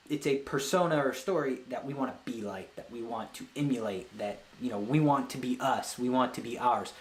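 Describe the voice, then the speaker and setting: A man hosting a business book read-along, explaining ideas casually and thinking aloud in a rambling way. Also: mid-range voice. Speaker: host of a business book read-along show, web agency owner. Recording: roomy, echoey sound.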